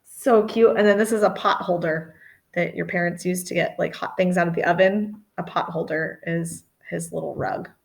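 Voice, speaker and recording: A woman's voice: fairly low pitch, gravelly. A middle-aged woman reading a children's picture book expressively, with dramatic emphasis and chatty asides. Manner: animated, deliberate, dramatic emphasis. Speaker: storytime reader.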